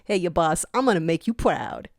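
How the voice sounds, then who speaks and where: A woman's voice: fairly low pitch, animated, normal speed, no room sound, clean studio sound. A woman voicing an eager, jolly male sidekick who is boastful and exuberant in an audio story. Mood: excited, jolly. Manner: exuberant, loud, swooping.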